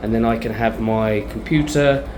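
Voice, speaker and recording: A man's voice: lowish voice, moderate pace. British man, chatty, noisy location sound. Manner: informal, chatty, thinking aloud. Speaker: vlogger. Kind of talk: casual video diary, talking while showing the space.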